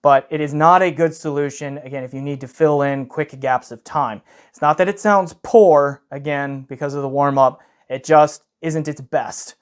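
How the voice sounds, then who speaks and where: Male online reviewer, slightly high voice, conversational and explanatory, talking off the cuff. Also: fairly even intonation, moderate pace, smooth. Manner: conversational, explanatory, often checks in with "okay?" and "right?". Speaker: audio gear reviewer, video host. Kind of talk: casual unscripted product review.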